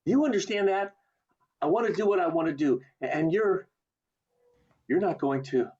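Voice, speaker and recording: A man's voice: high voice, expressive, normal speed, darkish tone. A man acting an earnest, stubborn young small-town man in an amateur radio play, with stammering, emphatic delivery over a video call. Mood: angry, then tender. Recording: muffled video call audio.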